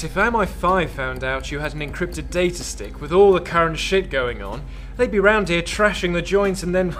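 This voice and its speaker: A man's voice: on the high side, a bit bright. A man playing a nervous, evasive IT security specialist in a radio thriller, hesitant and flustered. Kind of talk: radio thriller drama acting.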